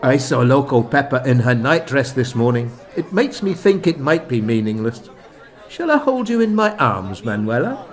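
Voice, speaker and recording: An actor playing an earnest, excitable village man and narrator in a scripted comedy radio play, with a theatrical manner. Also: medium pitch, moderate pace, a faint crowd, close to an everyday voice. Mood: earnest, bemused.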